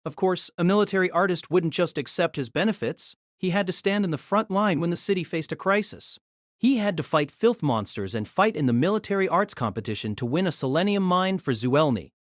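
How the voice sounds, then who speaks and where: A woman's voice: fairly low pitch, expressive, a little fast, no echo, studio quality. A woman narrating a scripted novel as a dramatic storyteller.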